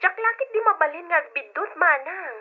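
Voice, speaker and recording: A woman's voice: very high-pitched, expressive, brisk. An actress with a Filipino Ilocano accent playing a concerned younger woman reporting over the telephone in an old-fashioned radio drama. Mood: worried, confiding. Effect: telephone filter. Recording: thin, tinny phone line.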